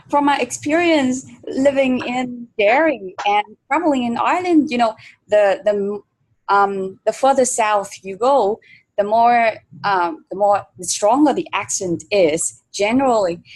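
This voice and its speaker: Slow-talking woman interviewer. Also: fairly high pitch, low-quality recording. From Vietnam. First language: Vietnamese. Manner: hesitant, many fillers. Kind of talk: conversational interview.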